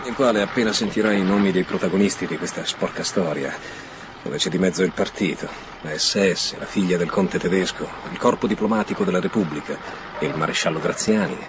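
An actor playing a weary, tense, sardonic police commissioner in an Italian radio drama, with a dry, guarded manner. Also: male voice, sits low, fairly quick, close to an everyday voice. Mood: wry, weary. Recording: noisy background ambience.

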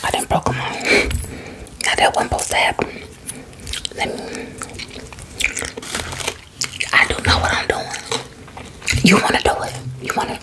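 A woman playing a sassy, defensive salon beautician in a breathy, whispered ASMR roleplay, using colloquial, repetitive patter. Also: close to an everyday voice. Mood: flustered, defensive. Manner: slow, sassy, colloquial patter with repeated phrases.

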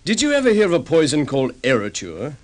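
A calm detective sergeant, steady and methodical, old radio play. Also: male voice, fairly high pitch. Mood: measured. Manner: measured explaining. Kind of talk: vintage radio drama acting.

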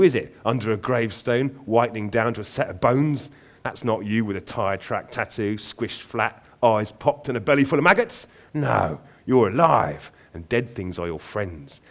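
A man voicing a huge raven with a loud, throaty voice and a London accent, cheerfully morbid. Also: mid-range voice.

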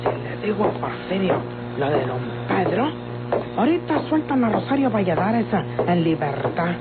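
An actress playing an agitated, secretive country daughter in an old radio melodrama, with rustic speech and a Mexican accent. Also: female voice, lowish voice, big pitch swings, moderate pace. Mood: defiant, agitated. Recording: tinny old radio recording, loud music.

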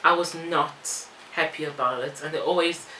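A woman's voice: deep voice, normal speed. A woman, chatting casually and wandering into asides as she does a makeup tutorial and Q&A. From born in Belgium, moved to South Africa. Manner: informal, rambling asides while busy applying makeup. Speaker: beauty vlogger. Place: small bare-walled room indoors. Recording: echoey room with some background noise.

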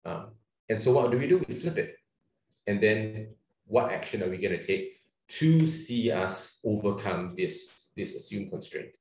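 A man's voice: on the low side, animated, a little slow, darkish tone, echoey room, poor audio. A man with a Singaporean accent leading an online training workshop, chatty and loose, with lots of fillers and restarts. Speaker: webinar trainer. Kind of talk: casual online workshop presentation.

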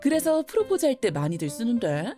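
여자가 연기하는 다정한 중년 꽃집 엄마. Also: female voice, a little high, huge pitch swings, a little fast, dark tone, soft background music. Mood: warm teasing. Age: middle-aged. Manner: affectionate and teasing. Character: warm middle-aged mother who runs a flower shop.

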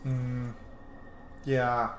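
A man's voice: medium pitch, monotone, very slow, soft background music. A man in his thirties giving casual gameplay commentary as a streamer. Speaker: game streamer. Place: small room indoors, webcam view. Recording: echoey room, clear background noise.

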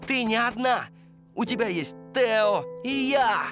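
A woman voicing an eager, bumbling, kind-hearted teenage boy trainee in an animated series dub, excitable and stammering. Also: on the high side, slow pace. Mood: defensive, earnest. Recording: music behind the voice.

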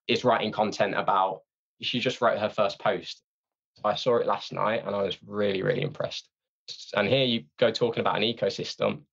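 Low gravelly man on lo-fi audio. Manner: energetic, conversational, repeats words when restarting. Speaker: founder of a sales training company. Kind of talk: podcast interview answers.

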